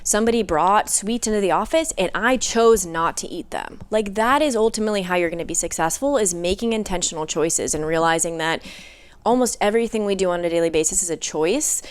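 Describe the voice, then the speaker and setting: A woman's voice: mid-range voice, fairly quick, clean tone. A woman guest in a casual podcast conversation, talking emphatically and filling her speech with "like".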